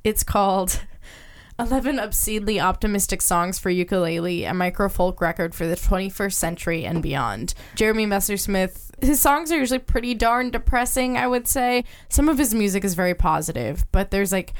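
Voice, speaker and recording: A woman's voice: medium pitch, a little fast. A young woman, an arts writer getting over a cold, chatting enthusiastically about music with plenty of fillers. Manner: enthusiastic, laughs easily, rambling with fillers. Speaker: arts and culture writer, podcast guest. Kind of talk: casual music-recommendation chat.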